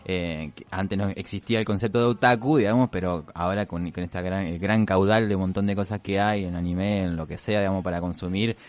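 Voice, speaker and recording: A man's voice: low voice, fairly even intonation, fairly quick, few pauses, darkish tone. An Argentine man hosting a casual radio chat, informal and attentive in the way he talks. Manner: informal, attentive, frequent short backchannels. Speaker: radio host.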